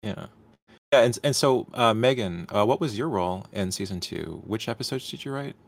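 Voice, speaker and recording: A man hosting a casual question and answer session, halting and repeating words as he forms questions. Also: lowish voice, animated, gravelly, no room sound. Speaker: panel moderator.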